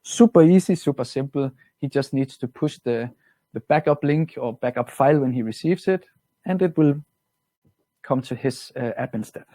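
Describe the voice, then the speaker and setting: Man with a Danish accent, mellow and dry. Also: medium pitch, normal speed, no echo. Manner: relaxed, plain-spoken, slightly non-native grammar. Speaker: product trainer. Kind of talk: webinar software walkthrough.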